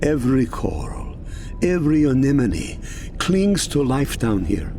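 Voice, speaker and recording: An actor playing a loyal, courteous manservant in an audio drama, with a polite, earnest, measured way of questioning and a creaky voice. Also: male voice, medium pitch, very animated, mellow, slight background noise.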